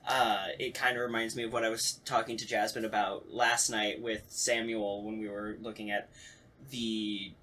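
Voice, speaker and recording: A man's voice: on the low side, flat delivery, moderate pace, sharp tone, slight background noise, rough recording. A man hosting a casual podcast chat, telling stories animatedly and acting out imagined reactions. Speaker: podcast host. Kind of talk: casual chat about radio plays.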